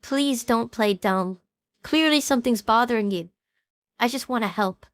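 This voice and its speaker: A woman plays an earnest young woman streamer in an animated audio drama. Her voice sits fairly high, with a questioning, protective manner, over background music. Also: normal speed, no echo, studio quality, natural voice. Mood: concerned.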